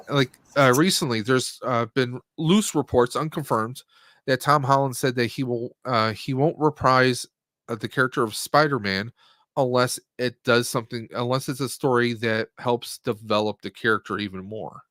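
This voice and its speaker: A man's voice: mid-range voice, normal speed, fairly bright tone, dry recording. A man hosting a live stream, giving a casual, rambling, jokey movie review.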